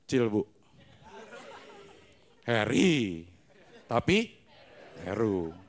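A middle-aged man doing stand-up on a TV studio stage, using deadpan wordplay into a handheld mic. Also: on the low side, very slow, a faint crowd. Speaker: stand-up comedian. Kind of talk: stand-up comedy set.

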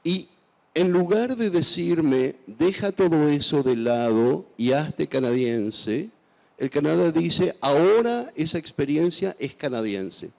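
A man's voice: fairly high pitch, animated, slow, creaky, darkish tone. A man in his sixties from Argentina, answering onstage interview questions with a handheld microphone, thoughtful and hesitant with many fillers and restarts. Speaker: author guest. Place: large festival stage. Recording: long hall reverb.

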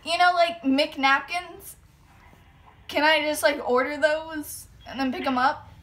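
A woman with a high, bright voice makes casual prank calls. She is giggly and slangy, talking informally as she plays along on the phone. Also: steady tone, takes it slowly. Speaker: prank caller. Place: small furnished bedroom indoors.

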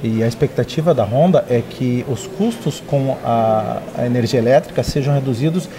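Man, 30s, editor guest, middle pitch, halting explanatory talk, noisy newsroom. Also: crowd noise. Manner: explanatory, many hesitations "é". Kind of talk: live studio interview. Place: open-plan newsroom.

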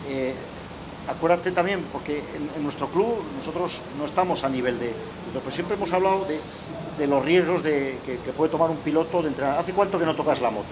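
A man's voice: on the high side, fairly quick. A middle-aged man hosting an interview in a casual, conversational way, often ending sentences with tag questions. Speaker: interviewer, club host. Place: outdoor courtyard with artificial grass. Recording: outdoor recording with clear background noise.

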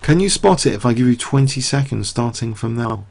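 A middle-aged man commentating live on a chess game, talking through the moves and quizzing his viewers, his voice getting croaky. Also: mid-range voice, expressive, brisk, darkish tone.

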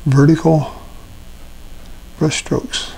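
An older male painting instructor with a gravelly, fry-laden voice at a middle pitch. He talks casually and thinks aloud through his strokes, with plenty of ums, and a faint hiss runs under the recording. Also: flat delivery, extremely slow, darkish tone, poor audio. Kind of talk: live painting demonstration. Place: art studio at a painting table.

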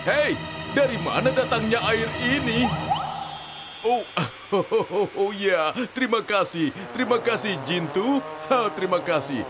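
High-pitched flustered cartoon neighbour. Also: male voice, expressive, unhurried, noisy background. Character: flustered middle-aged male neighbour, excitable and comic. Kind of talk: dubbed children's cartoon acting. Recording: music playing underneath.

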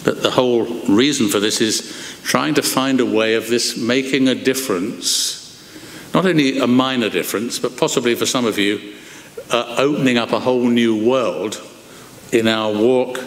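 An older man preaches from a lectern microphone in a big wooden church, his mid-pitched voice carrying a long, ringing reverb. He speaks expressively, gesturing broadly and slowing down on the phrases he wants to land. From England. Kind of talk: sermon. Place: large wooden church, indoors.

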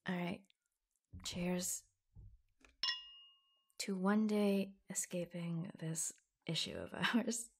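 Woman acting a slow, breathy secret office girlfriend. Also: lowish voice, steady tone, full character voice. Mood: tender, hushed. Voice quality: soft, breathy. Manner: hushed, hesitant, confiding. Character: affectionate young woman in a secret office romance, proud, reassuring. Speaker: ASMR roleplay performer. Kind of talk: intimate ASMR roleplay, soft-spoken and often whispered.

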